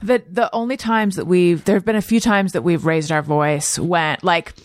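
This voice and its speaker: A woman's voice: fairly low pitch, fairly even intonation, moderate pace, dry recording. A woman hosting a podcast, asking questions in an easygoing way and laughing along with her guest. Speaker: podcast host. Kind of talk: casual interview chat.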